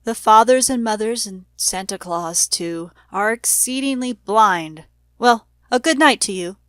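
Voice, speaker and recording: A woman voicing a solemn old male doctor in storybook verse, measured and admonishing about sweets. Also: on the high side, fairly bright tone, no echo. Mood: stern, advising. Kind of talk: storybook verse read aloud.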